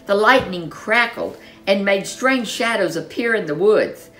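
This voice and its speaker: A woman storyteller reading a children's storybook in an expressive, animated way, with dramatic emphasis. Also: medium pitch, unhurried, faint music behind, poor audio.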